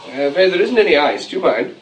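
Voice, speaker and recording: An actor playing a cynical, conceited novelist in a 1920s comedy of manners, dry, blunt and playfully provocative. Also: male voice, fairly high pitch, sing-song, fast talker, a little hiss or hum, rough recording. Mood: dry, casual. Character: cynical, teasing male novelist, urbane and conceited. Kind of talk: 1920s English comedy of manners stage acting.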